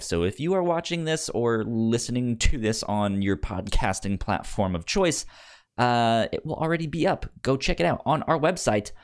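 A man's voice: fairly low pitch, smooth. A man hosting a podcast in casual, informal chat, repeating words for emphasis. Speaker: podcast host.

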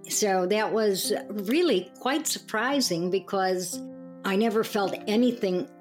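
An older woman sharing personal reminiscences in a halting, reflective way. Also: mid-range voice. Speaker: discussion participant. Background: music.

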